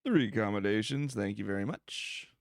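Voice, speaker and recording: A man's voice: fairly low pitch, normal speed, gravelly, no echo, studio quality. A man giving casual, laid-back, slangy commentary over a video game. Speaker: let's play streamer. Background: video game music.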